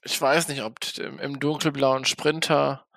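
A man playing a polite, practical vampire investigator in an audio drama, thinking aloud haltingly. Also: medium pitch, level tone, creaky, fairly bright tone, no room sound. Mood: pondering, practical. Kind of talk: improvised role-play audio drama dialogue.

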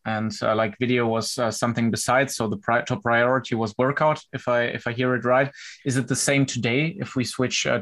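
A man's voice: medium pitch, a bit bright. A man hosting a podcast, asking hesitant, searching questions. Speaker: podcast host. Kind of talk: interviewing.

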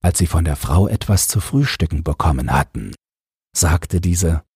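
A man's voice: animated, normal speed, mellow, dry recording, clean studio sound. A man narrating a fairy tale from a script, as the storyteller of an audio drama. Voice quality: deep, very husky.

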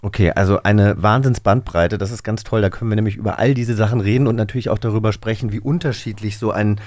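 A man hosts a podcast interview, eager and chatty with his guest. His voice sits fairly low. The room sounds dry and close. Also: fast talker, a little mellow, dry recording. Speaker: podcast host. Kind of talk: casual interview.

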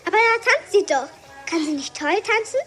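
A woman's voice: extremely high pitch, lively intonation, normal speed, fairly bright tone, faint music behind. A woman playing an eager, insistent little girl in a German children's radio play. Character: excitable little girl insisting on an invisible friend.

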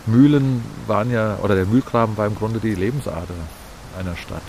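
A man being interviewed on a walk outdoors, explaining local things conversationally and haltingly as he thinks. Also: lowish voice, a little mellow. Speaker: interviewee, music school director and local guide. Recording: outdoor field recording with faint hiss.

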